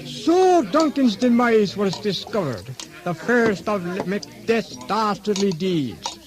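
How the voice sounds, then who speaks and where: High-pitched mock-solemn narrator. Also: male voice, lively intonation, slow. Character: storybook-style male narrator of a mock tragedy. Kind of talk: scripted radio drama narration. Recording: noisy old radio recording.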